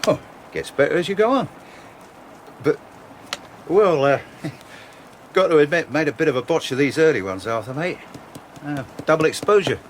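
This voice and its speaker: A man's voice: fairly high pitch, lively intonation, normal speed, long pauses, slight background noise, natural voice. An actor playing a chummy, jokey male friend who laughs a lot and later stammers nervously as a witness in a radio drama. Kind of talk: 1980s radio drama acting.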